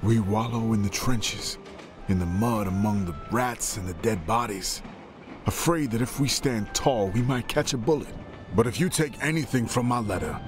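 An actor playing a war-weary soldier and protective older brother, reading a letter in a grave, earnest voice-over for a game. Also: male voice, fairly low pitch, close to an everyday voice. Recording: music behind the voice. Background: music.

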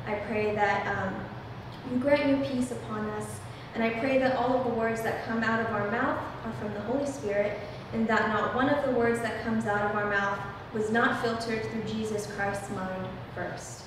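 A woman's voice: fairly high pitch, fairly even intonation, moderate pace. An eighteen-year-old woman hosting a casual discussion in a church room, talking earnestly with frequent "um" fillers. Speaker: host. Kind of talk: casual discussion, leading a prayer. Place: church room, small group at a table. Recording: echoey room, heard from a distance, background noise.

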